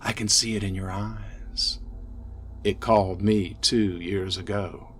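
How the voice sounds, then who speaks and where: A man voicing an insane, haunted asylum inmate in a gothic horror story, fervent and ominous, swinging from hushed to ranting. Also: on the low side, steady tone, takes it slowly, a little hiss or hum. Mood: hushed, intense.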